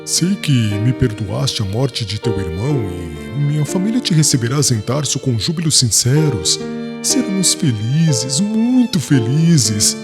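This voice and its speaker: A man's voice: medium pitch, big pitch swings, unhurried. A man playing a passionate, proud young fiancé in an audiobook drama, with theatrical, emphatic, formal delivery. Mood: pleading, hopeful. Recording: long reverb, music behind.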